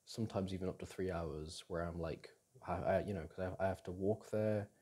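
A young man playing a caring, reassuring boyfriend in an intimate ASMR roleplay, speaking gently and haltingly with sighs and restarts. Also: deep voice, husky. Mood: relaxed, reflective. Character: caring, reassuring boyfriend comforting his upset partner. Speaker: audio roleplay performer.

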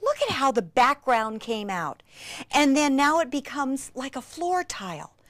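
A woman giving an enthusiastic hands-on craft demonstration, gushing and breathlessly excitable, with hushed asides. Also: high-pitched, measured pace, no room sound, studio quality. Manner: gushing, breathlessly excited, with frequent gasps and exclamations.

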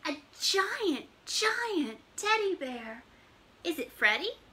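A woman's voice: very high voice, very animated, slow pace, pushed and bright, full character voice. A woman voicing a small, frightened boy in a children's storybook, exclaiming as he hunts for his lost teddy. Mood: amazed.

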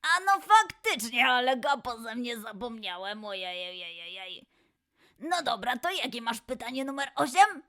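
A woman playing a forgetful, excitable young male lion who frets and exclaims in a children's audio quiz show. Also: on the high side, normal speed, heavily acted. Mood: flustered, forgetful. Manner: fretful, exclaiming, self-doubting. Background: music.

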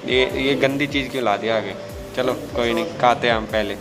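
A man's voice: mid-range voice, a little fast, loud music behind the voice, poor audio. A man, chatting casually and teasing his companion as he films a food vlog in a small restaurant. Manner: chatty, teasing, mixes a little English. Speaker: food vlogger.

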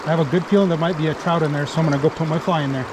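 A man's voice: a little high, steady tone. A young man casually narrating his fly fishing trip outdoors, talking eagerly to his viewers. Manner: enthusiastic, talks to viewers as "you guys". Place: outdoors by a forest creek. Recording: outdoor recording with loud stream noise. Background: rushing stream water.

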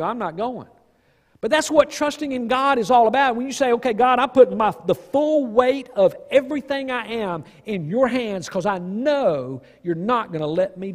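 A man preaching a sermon in an emphatic, folksy way, pausing for weight and asking rhetorical questions. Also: sits high. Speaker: pastor. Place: church sanctuary stage at a lectern. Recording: long reverb of a church hall.

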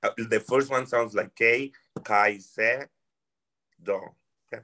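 Slow-spoken man with a Colombian Spanish accent. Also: mid-range voice, bright, forceful tone. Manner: slow, careful, searching for words in English. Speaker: restaurant worker guest. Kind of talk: interview conversation.

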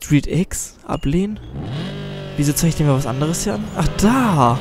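A man's voice: a little high, animated, normal speed. A teenage boy chatting casually through a racing game, rambling and self-deprecating, a little under the weather with a cold. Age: teens. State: has a slight cold. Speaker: let's play gamer. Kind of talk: casual gameplay commentary. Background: game music and car sounds.

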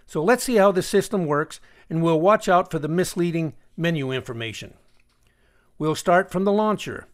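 A man with a high-pitched voice hosts a software tutorial voice-over. He explains each step in a deliberate way and puts pointed emphasis on what matters. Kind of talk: screencast tutorial narration. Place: voice-over for a screen recording.

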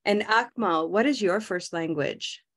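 A middle-aged woman teaching English pronunciation on a video call, modeling words slowly and prompting learners. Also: mid-range voice. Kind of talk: slow, clear pronunciation coaching. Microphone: webcam on a video call.

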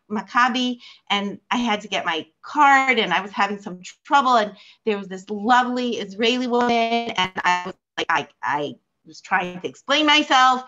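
Older lady w a philly accent on a video call, bright voice, kinda muffled audio, rambles w lots of ums. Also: on the high side. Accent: Philadelphia. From United States. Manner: rambling, warm storytelling with many fillers and restarts. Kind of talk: casual chat. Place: home room with bookshelves.

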